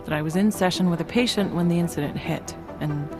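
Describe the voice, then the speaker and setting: A woman's voice: on the low side, fast, over music, close to an everyday voice. Delara, a woman voicing a wry therapist. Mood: haunted. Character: wry therapist woman, shaken but resilient. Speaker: video game character. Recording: game cutscene with loud score.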